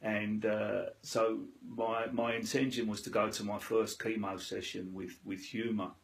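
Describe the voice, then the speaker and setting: A man telling a story with humour in a loud, chirpy way, as the guest in an interview. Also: on the low side, level tone, a little slow, vocal fry. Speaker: interviewee, cancer patient.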